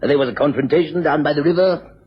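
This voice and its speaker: An actor playing a practical, affable former police detective in a radio drama, with an English accent, a husky voice and a matter-of-fact manner. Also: male voice, on the high side, fast talker. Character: former Scotland Yard man, practical, affable investigator. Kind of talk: 1950s-60s radio drama acting. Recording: dull old radio recording.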